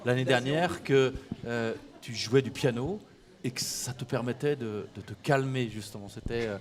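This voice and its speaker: A man's voice: medium pitch, normal speed. Podcast host man in a reverberant space. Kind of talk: podcast interview. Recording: long reverb on the voice.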